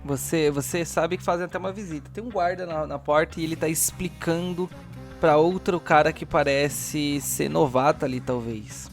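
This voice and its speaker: Higher-voiced male game master over music. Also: fairly even intonation, moderate pace. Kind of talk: improvised narration of a tabletop roleplaying game.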